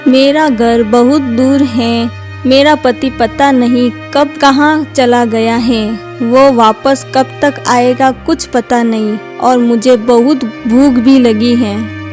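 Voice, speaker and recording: Woman voicing a slow-talking, high-pitched hungry demoness. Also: fairly even intonation, darkish tone, soft background music. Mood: plaintive. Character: hungry demoness who poses as a helpless young woman. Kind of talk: cartoon story voice acting.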